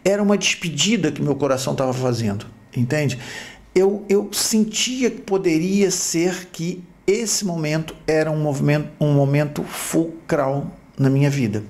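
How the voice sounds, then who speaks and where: A middle-aged man from Rio with a Brazilian Portuguese accent, a podcast host telling his life story in a reflective, halting way. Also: a little high, a little mellow. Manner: reflective, halting with repeated restarts. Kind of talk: personal storytelling.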